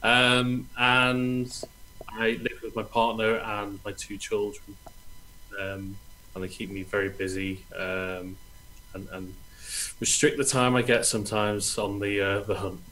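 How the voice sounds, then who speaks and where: A man's voice: on the low side, flat delivery, a little slow, sharp tone, a little hiss or hum, low-quality recording. A middle-aged man, answering interview questions over a video call in a friendly, chatty way. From Wales. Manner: friendly, chatty, uses "um" often. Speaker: guest, treasure searcher.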